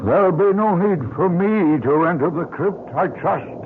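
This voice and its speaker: A nervous, obedient manservant with a high voice. His manner is servile and hesitant, in vintage radio mystery style. Heavy noise and a thin band mark the old broadcast. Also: a little slow, phone-quality sound. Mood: timid, deferential. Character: timid, deferential manservant, male, dreading the crypt.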